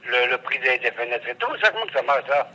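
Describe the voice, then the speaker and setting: A man speaking as a customer on a phone call, stubborn and indignant, often repeating his words haltingly. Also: mid-range voice, sing-song, a loud crowd. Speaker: customer being prank-called. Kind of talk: phone conversation. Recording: noisy telephone line.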